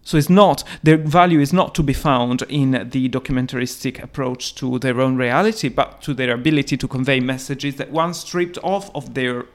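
A man's voice: medium pitch, normal speed, hardly any pauses. Man speaking English as a second language. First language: not English. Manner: emphatic, restarts often, laughs while talking. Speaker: podcast co-host. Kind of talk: casual discussion. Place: London.

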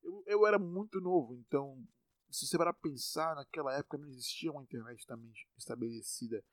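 A man's voice: mid-range voice, quick pace, dry recording. Rambling, cursing young guy from Salvador. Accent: Bahian Brazilian Portuguese. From Salvador, Brazil. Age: 20s. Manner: rambling, profane, self-mocking. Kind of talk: casual monologue.

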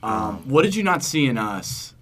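A man's voice: medium pitch, very animated, normal speed, fairly bright tone. A young man co-hosting a podcast, putting questions to a guest in casual, bantering conversation. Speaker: podcast co-host, interviewer.

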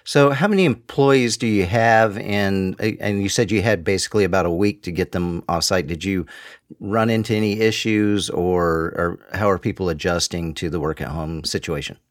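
A man's voice: sits low, moderate pace, no echo, studio quality. A man hosting a podcast in a conversational way, asking long questions made of several parts. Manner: conversational, asks long multi-part questions. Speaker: podcast host. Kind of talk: interview hosting.

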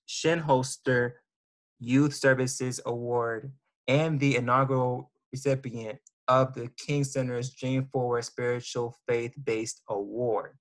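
Man hosting an interview, mid-pitched, halting, lots of ums. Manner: reads a guest bio with frequent ums and restarts. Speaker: host. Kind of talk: casual interview introduction.

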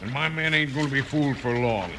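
A man playing a surly, scheming outlaw boss with a gravelly American drawl and a sneering, defiant manner, in old-time radio western style. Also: mid-range voice, fast talker, lightly acted. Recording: very noisy old radio recording.

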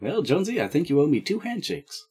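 A man's voice: fairly high pitch, sing-song, fast talker, mellow, slightly rough. A man playing a tabletop game in character, brash and jokey.

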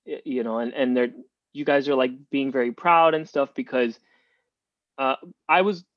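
A man's voice: medium pitch, steady tone, normal speed, no echo. Cuban-born man rambling on a muffled line. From Cuba. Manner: rambling, many restarts and fillers. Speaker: podcast guest. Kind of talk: casual interview talk.

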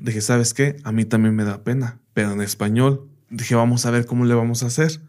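A man's voice: mid-range voice, steady tone, a little fast, a little mellow. A man whose first language is English, a teacher and podcast guest, telling anecdotes in a casual interview, often saying "bla, bla" and "¿me entiendes?".

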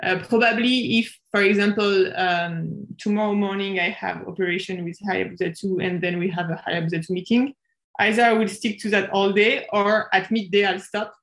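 A woman's voice: medium pitch, steady tone, moderate pace, creaky, a bit bright, poor audio. A woman from France with a French accent, a planetary scientist answering interview questions in a thoughtful, hesitant way with many fillers.

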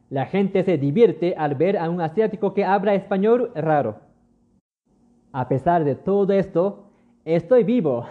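A man's voice: high-pitched, phone-quality sound. A man from Japan with a Japanese accent, hosting a scripted solo podcast in an earnest, lightly humorous teaching tone. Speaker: podcast host. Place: lives in Mexico.

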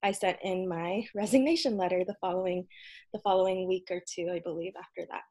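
A woman in her thirties, a podcast guest, telling a personal story in an earnest, casual way with frequent ums and soft laughs. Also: lowish voice, a little fast. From United States. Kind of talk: casual interview conversation.

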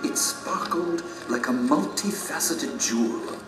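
A storyteller recounting an epic fantasy journey. He is theatrical and builds suspense. His voice sits in a roomy space under loud music. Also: fairly high pitch, animated, takes it slowly. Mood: dramatic, wondrous. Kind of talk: dramatic audio drama narration. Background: dramatic music.

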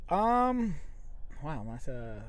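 A man's voice: a little high, sing-song, very slow, no echo. A man co-hosting a casual podcast, speaking bluntly and restarting his sentences often. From United States. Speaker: podcast co-host.

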